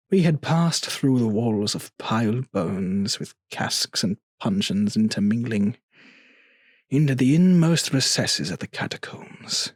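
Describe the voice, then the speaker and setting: A male narrator with a husky, mid-pitched voice and a very unhurried pace. He reads a gothic tale dramatically, with deliberate pauses, in a dry, close recording. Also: no echo.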